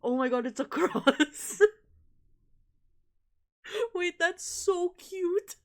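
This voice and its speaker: A livestreamer chatting casually while playing a game, rambling and excitable, prone to giggling. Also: very high voice, extremely slow. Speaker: livestreamer playing a visual novel. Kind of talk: casual live stream commentary with read-aloud game dialogue. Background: game music.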